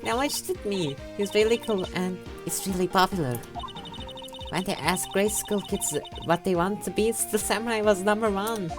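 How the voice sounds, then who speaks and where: A young woman voicing an excitable teenage girl sidekick in a fan game voice-over, talking in a gushing, high-spirited way over game music. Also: normal speed, natural voice. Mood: excited.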